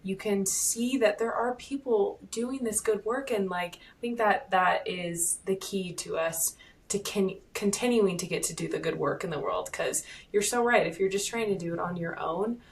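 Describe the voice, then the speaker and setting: A woman's voice: medium pitch, normal speed, distant mic. Affirming young woman podcast host. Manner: warm affirming, rambling sentences, casual swearing. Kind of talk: casual interview conversation.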